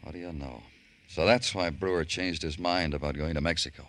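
An actor playing a world-weary, relentless police detective in a radio noir drama, clipped and deadpan. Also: male voice, extremely low pitch, a little fast. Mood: brooding, narrating. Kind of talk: old-time radio noir narration and dialogue.

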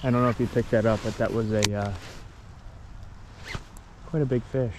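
A man with an American accent narrating his fishing trip on a creek bank, recorded on a chest-mounted action camera, relaxed and chatty but excitable when a fish bites. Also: fairly low pitch, normal speed, noisy background. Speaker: fishing vlogger. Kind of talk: casual outdoor narration. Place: creek bank outdoors in winter.

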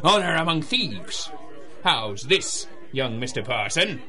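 An actor playing a gleeful, scheming gangster boss in a 1930s radio drama, with a theatrical, taunting manner. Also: male voice, medium pitch, very animated, slow, pushed and bright, soft background music, heavily acted. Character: gleeful, scheming male gangster crime boss, the Mad Monkey.

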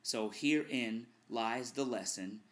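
A man's voice: medium pitch, takes it slowly, a bit bright. A middle-aged man, a radio host, giving earnest advice straight to a webcam in his living room. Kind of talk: direct-to-camera video blog.

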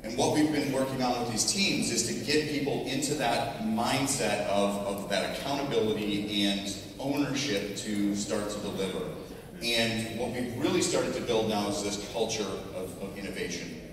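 A middle-aged American man, slightly hoarse, giving an informal conversational keynote in a large conference ballroom, picked up from the audience. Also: fairly low pitch, normal speed. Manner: conversational, restarts for emphasis, tags points with "right?". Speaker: technology executive, conference speaker. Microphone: PA heard through a distant audience camera. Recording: echoey hall, distant audience recording, noisy.

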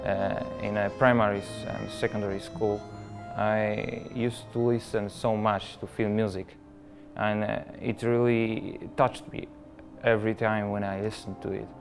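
A young man talks hesitantly, reaching for words between fillers. His voice sits low and flat, and music runs clearly beneath the interview. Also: a little slow. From Poland. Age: young adult. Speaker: film music competitor. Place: indoor room with curtains. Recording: music playing underneath.

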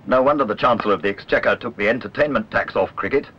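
A man reading a literary documentary narration in a measured, wry way. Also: medium pitch. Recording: dull lo-fi old film soundtrack, clear noise.

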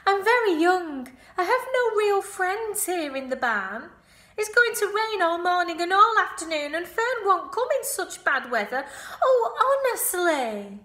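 Storybook pig, young and friendless, high wailing whine, voiced by a woman reader. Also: big pitch swings, normal speed, fairly bright tone, echoey room. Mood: miserable. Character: young male pig, lonely and whiny.

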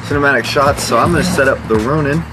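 A man's voice: medium pitch, quick pace, low-quality recording. A young man chatting casually as he vlogs. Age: young adult. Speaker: vlogger. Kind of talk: casual vlog chat. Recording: loud music over the voice.

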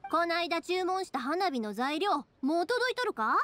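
A woman voicing a cheerful, caring young fireworks maker with a Kansai accent, chatty and expressive, in an anime game. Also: extremely high pitch, normal speed, a little hiss or hum, exaggerated voice. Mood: insistent. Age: young adult.

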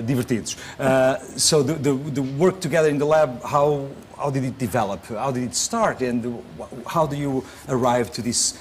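A man's voice: medium pitch, a little hiss or hum. A middle-aged man with a Portuguese accent hosting a studio talk show, moving between Portuguese and English to translate his guests. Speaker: host. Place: TV studio set. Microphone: lapel.

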